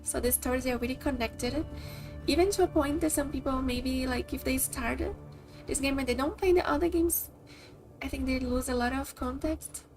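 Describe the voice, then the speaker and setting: A woman's voice: high-pitched, moderate pace. A woman from Brazil with a Brazilian accent, whose first language is Portuguese, chatting casually and rambling while streaming a game. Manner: chatty, rambling, many likes and kinda. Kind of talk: casual live-stream chat. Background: faint game music.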